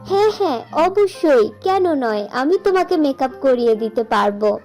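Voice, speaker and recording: A woman's voice: high-pitched, animated, moderate pace, a little mellow, faint music behind. A woman voicing a kind, earnest poor village girl in a fairy-tale cartoon, sweet in manner. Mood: eager, kind.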